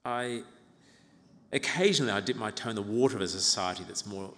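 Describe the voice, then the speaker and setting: Low-voiced male preacher in a reverberant hall. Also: a little fast, fairly bright tone. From Uganda. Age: 50s. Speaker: pastor preaching to own congregation. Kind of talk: expository sermon. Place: large reverberant church interior.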